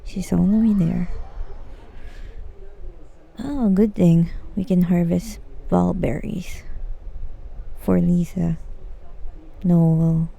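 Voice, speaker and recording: Female game streamer: very dark, soft-edged tone, unhurried, a touch gravelly, casual murmured remarks. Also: fairly even intonation, rough recording. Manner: low-key, murmuring to herself. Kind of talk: casual live gameplay commentary.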